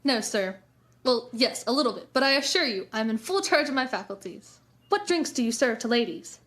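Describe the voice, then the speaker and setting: A woman's voice: on the high side, animated, brisk, fairly bright tone. A woman playing an eager, genteel, starry-eyed lady missionary in a western comedy audio drama, with flowery, grandiloquent speech. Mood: breathless delight.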